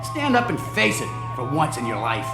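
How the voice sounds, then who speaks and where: An actor playing a nervous, guilt-ridden husband in a radio drama, speaking in an agitated, emphatic way. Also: male voice, mid-range voice, wildly swooping pitch, moderate pace, over music. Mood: scornful, accusing.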